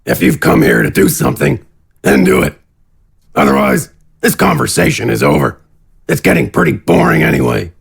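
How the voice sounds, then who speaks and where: A man voicing a giant, boastful warrior in a fantasy audiobook, with a blunt, gruff, bored manner. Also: mid-range voice, big pitch swings, moderate pace, heavily acted. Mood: bored defiance.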